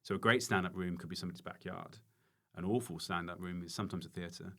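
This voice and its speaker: Very deep-voiced man chatting. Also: steady tone, fast talker, sharp tone. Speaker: stand-up comedian, podcast guest. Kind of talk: casual podcast chat.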